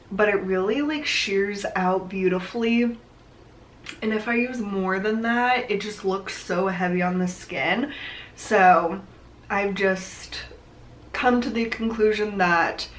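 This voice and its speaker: Slow-talking chatty young woman, a bit nasal. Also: mid-range voice, a bit bright, slight background noise. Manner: chatty, rambling with many "like" and "um" fillers. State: nasal from allergies. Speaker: beauty vlogger. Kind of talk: casual makeup tutorial talk.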